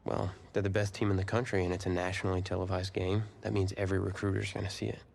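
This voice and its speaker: An actor playing a confident, pushy young football player with a gravelly voice in a scripted game scene. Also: male voice, low-pitched, level tone, fast, darkish tone, slight background noise. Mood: bitter, pushy. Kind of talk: scripted dramatic dialogue.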